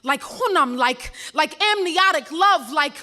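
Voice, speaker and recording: A young woman from Ghana performs spoken word at a live campus event. Her voice sits high, and her delivery is rhythmic and impassioned, with words repeated for emphasis. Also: animated, measured pace, pushed and bright.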